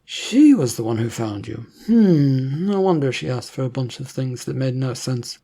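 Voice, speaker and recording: A narrator voicing a composed male secret agency boss in an adventure story, speaking politely and formally in measured phrases. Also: medium pitch, very animated, warm tone, breathy edge. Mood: businesslike.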